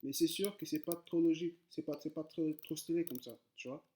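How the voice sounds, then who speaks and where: A man's voice: a little high, steady tone, fairly quick, mellow. Hesitant non-native French-speaking man. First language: not French. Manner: hesitant, many fillers and repeated words; addresses viewers directly, informal. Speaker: coding tutor. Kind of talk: casual screen-recorded coding tutorial.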